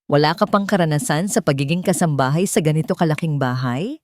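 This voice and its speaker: Icy society madam read by a female narrator, judgmental, condescending, low-voiced, for romance audio fiction. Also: a little fast, darkish tone, no room sound, clean studio sound, natural voice. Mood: cold scrutiny. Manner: cold, condescending. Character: cold, elegant, judgmental rich matron.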